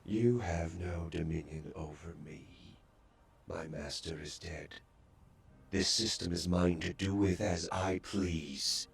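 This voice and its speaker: A man voicing a menacing, ancient male robot villain in a video game cutscene, speaking with a slow, gravelly rumble. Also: fairly even intonation, full character voice. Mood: cold. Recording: game cutscene with music behind.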